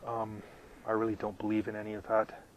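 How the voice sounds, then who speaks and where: A man hosting a live question-and-answer stream, halting and full of ums, losing his voice and clearing his throat often, talking from his RV out in the desert. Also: on the low side, completely flat delivery, slight background noise, poor audio. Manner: halting, with many ums and repeated words. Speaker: channel host answering viewer questions. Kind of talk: live casual Q&A.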